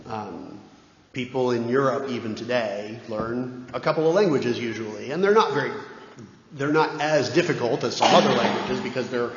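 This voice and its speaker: A man's voice: medium pitch, normal speed, noisy background. A man, a parish priest, answering questions in casual talk, often checking in with his listeners. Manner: conversational, keeps checking in with "right?". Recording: echoey dull church hall recording.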